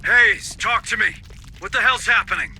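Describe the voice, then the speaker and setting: An actor playing a hard-nosed marine commander in a game, giving curt, terse orders. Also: male voice, high-pitched, moderate pace, bright, forceful tone, a little hiss or hum, low-quality recording. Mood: alarmed. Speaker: squad commander. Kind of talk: dramatic game acting.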